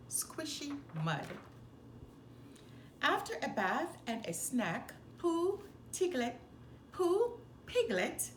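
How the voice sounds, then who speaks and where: Woman, children's storybook narrator, higher-pitched, very lively intonation, deliberate phrasing. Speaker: storyteller reading a children's book aloud. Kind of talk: expressive children's read-aloud narration. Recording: roomy echo, faint background noise.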